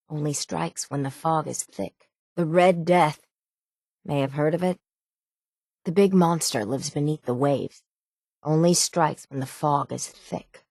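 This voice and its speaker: An actress playing a weathered, stubborn female sailor in a video game, with a gruff, plainspoken old-salt way of talking. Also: low-pitched, darkish tone, no room sound, clean studio sound. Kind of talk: video game character voice acting.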